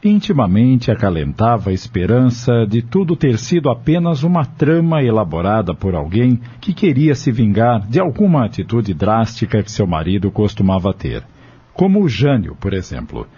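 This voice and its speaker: A man's voice: fairly low pitch, sing-song, normal speed, dark tone, slightly rough. A man narrating a dramatic audio novel from a script in a solemn, literary manner. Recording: dull lo-fi audio with music behind. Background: music.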